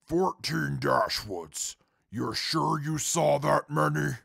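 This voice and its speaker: A stern, disdainful companion who takes charge, acted by a man. The delivery is slow, curt and deadpan, deeply creaky and rough, in a dry audio-drama recording. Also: no echo, exaggerated voice. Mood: businesslike. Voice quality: very gravelly, husky. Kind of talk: comic post-apocalyptic audio drama acting.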